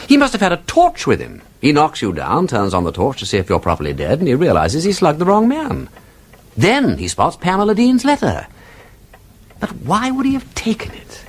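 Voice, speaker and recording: Gentleman detective, upper-class English, wildly animated intonation, slightly husky, witty, flourishing, radio play. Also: on the high side, moderate pace, heavily acted. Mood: excited speculation. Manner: theatrical, playfully pedantic, flourishing delivery. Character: witty aristocratic English gentleman sleuth, breezy and talkative. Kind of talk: British radio drama acting.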